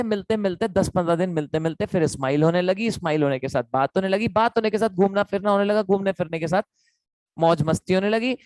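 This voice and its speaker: A young man with an Indian accent and a sore, hoarse throat, teaching a chatty live online law lecture and repeating points to his students. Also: high-pitched, no echo. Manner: chatty, repetitive, calls students beta.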